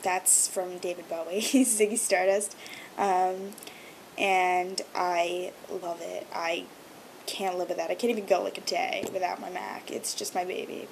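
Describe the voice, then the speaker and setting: A woman's voice: fairly low pitch, flat delivery, measured pace, gravelly, slight background noise, rough recording. A young woman whose first language is Hebrew, chatting to camera in a gushing, filler-heavy vlog. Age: young adult. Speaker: vlogger. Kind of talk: casual chat to camera.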